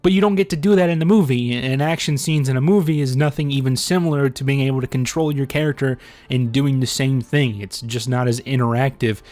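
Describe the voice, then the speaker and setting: A man's voice: mid-range voice, darkish tone. A man giving casual, opinionated video commentary in a blunt, sarcastic, sweary manner.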